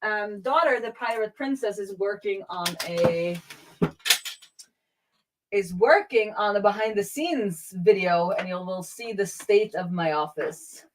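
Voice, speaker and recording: A middle-aged woman host talking informally through live coding, thinking aloud and often halting as she works things out. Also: mid-range voice, noisy background. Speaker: host of a web development live stream. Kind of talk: casual live coding commentary.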